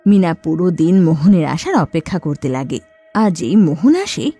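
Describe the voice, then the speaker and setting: A woman narrating a horror story in a lively storytelling manner. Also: fairly low pitch, normal speed, slightly rough, no echo, soft background music.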